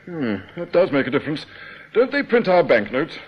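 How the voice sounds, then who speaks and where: An actor playing a prickly, self-important British bank manager in a radio drama, curt and humourless. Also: male voice, fairly high pitch, big pitch swings, normal speed. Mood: haughty. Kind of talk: broadcast radio drama acting. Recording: dull noisy old radio recording.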